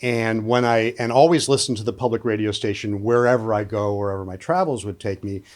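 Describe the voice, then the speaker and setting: A man's voice: fairly low pitch, steady tone, a little fast. A man hosting a casual public radio conversation, asking colleagues questions with easy laughs and fillers. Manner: friendly interviewer, laughs easily, fillers. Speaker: radio host interviewing colleagues. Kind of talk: casual radio studio chat.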